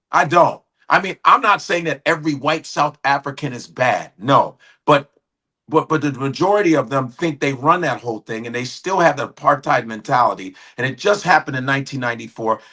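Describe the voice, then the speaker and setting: A middle-aged man with a bright, slightly high voice talks heatedly on a live show. He is blunt and profane, and his indignation keeps driving him on. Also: husky. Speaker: guest commentator. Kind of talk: heated opinion talk.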